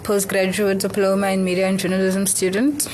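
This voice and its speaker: A woman's voice: mid-range voice, flat delivery, a little fast, darkish tone, slight background noise. A woman in her twenties from Cape Town, a journalism student, chatting casually in an interview. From Cape Town, South Africa.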